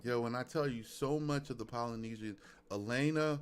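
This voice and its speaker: A man's voice: medium pitch, normal speed, a little hiss or hum. A man with a Brooklyn accent hosting a live music review stream, laid-back and loose, leaning on "you know what I'm saying.". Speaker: host. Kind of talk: casual livestream chat.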